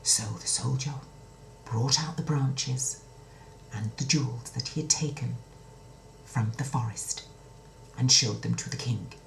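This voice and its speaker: A woman's voice: very deep voice, a little slow, creaky, pauses often, echoey room, a little hiss or hum, rough recording. A woman telling a children's story in a dramatic, theatrical way, repeating words for suspense.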